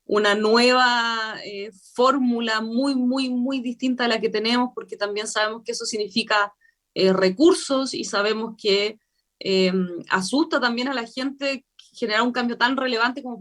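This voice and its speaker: A Chilean woman, a convention member, explaining her work fluently in a radio interview, with frequent filler pauses. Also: on the high side, a bit bright. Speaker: constitutional convention member, guest.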